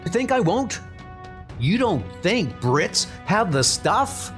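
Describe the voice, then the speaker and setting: A man's voice: high-pitched, takes it slowly, heavily acted. A man playing an agitated young spy recruit in a comic dub, suspicious, combative and accusatory, with music behind. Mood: angry, threatening. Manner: accusatory, excitable. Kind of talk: comic dub dramatic acting.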